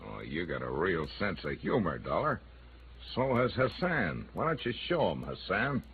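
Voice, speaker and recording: An actor playing a menacing, sarcastic middle-aged criminal boss in an old-time radio noir, with a tough, taunting, commanding manner. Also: male voice, low-pitched, wildly swooping pitch, normal speed, faint music behind, full character voice. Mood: sarcastic threat. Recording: noisy, narrow-band old radio recording.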